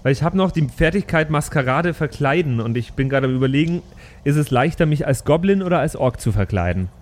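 A man's voice: a little high, lively intonation, a little fast. A man improvising casually as a player in a tabletop role-playing game. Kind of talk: improvised in-character role play, casual chat.

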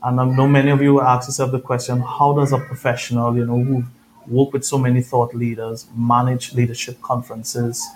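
A man's voice: mid-range voice, level tone, fairly quick, a little mellow, slight background noise, poor audio. A man from Trinidad with a Trinidadian accent, a podcast guest telling his story in an earnest, reflective way. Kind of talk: conversational interview storytelling.

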